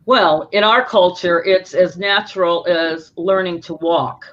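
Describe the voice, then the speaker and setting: A woman tells stories about dancing as a guest, with lively energy. Her voice comes through dull and a little lo-fi, like a remote call. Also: lowish voice, unhurried. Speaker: guest, dance instructor. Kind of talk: casual interview talk. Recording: dull lo-fi sound.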